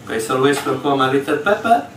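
Chef, echoey hall from far off. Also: male voice, medium pitch, lively intonation, fast, a little hiss or hum. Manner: explanatory, slightly broken English phrasing. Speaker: executive chef. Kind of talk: live cooking demonstration. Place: large hall on a ship, in front of an audience.

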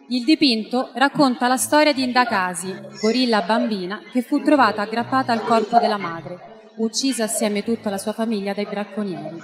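Female awards host, 30s, raised pitch, music behind scripted delivery. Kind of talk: scripted reading on stage. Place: theatre stage. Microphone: handheld microphone.

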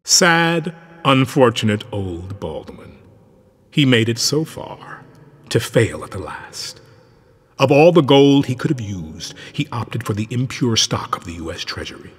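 A man's voice: mid-range voice, sing-song, a little slow, slightly rough, heavily acted. An actor playing a coldly courteous, menacing criminal mastermind in a video game, formal and pompous in his delivery. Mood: disdainful. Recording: long reverberant hall.

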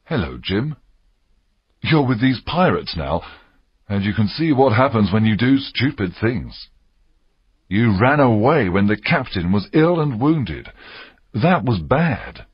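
A man voicing a stern but kindly gentleman doctor in an audiobook, grave and measured. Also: medium pitch, big pitch swings, husky, dry recording, lo-fi sound, voice pushed up. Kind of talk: audiobook character acting.

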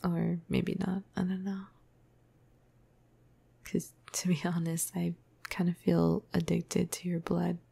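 A woman playing a flirty, cuddly vampire crush in a breathy, casual ASMR roleplay, half-whispering close to the microphone. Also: fairly low pitch, completely flat delivery, slow, long pauses, warm tone, full character voice. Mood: flirty, fond. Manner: casual, playful, hesitant, breathy murmur.

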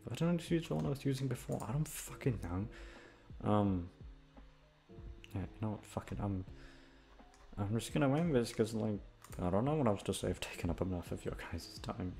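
A young man with a low voice and plenty of vocal fry rambles casually to his listeners. He is halting, profane and self-deprecating, as if unsure what to say next. Faint music plays behind him. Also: animated, warm tone. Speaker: audio voice actor, channel host. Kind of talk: rambling casual outro chat.

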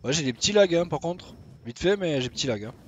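A man's voice: on the high side, expressive, fast, dull audio. Young guy rambling over his game, casual swears, talking to himself, bit of game noise behind. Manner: chatty, swears casually, talks to himself while playing. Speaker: gaming YouTuber. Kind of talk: casual gameplay commentary.

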